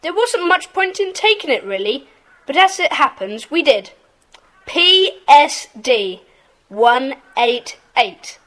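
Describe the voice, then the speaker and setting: Woman as bright, high-voiced boy leader. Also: big pitch swings, slow, exaggerated voice. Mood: confident. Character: decisive, encouraging schoolboy leader of a children's club. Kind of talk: children's audio drama acting.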